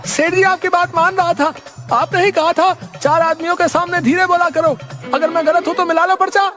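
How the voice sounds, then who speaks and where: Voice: extremely high pitch, fairly even intonation, moderate pace, music in the background. A performer voicing a cheeky young male servant in a cartoon story, exaggeratedly deferential and literal-minded. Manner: exaggeratedly deferential, singsong obedience. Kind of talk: Hindi cartoon story dialogue.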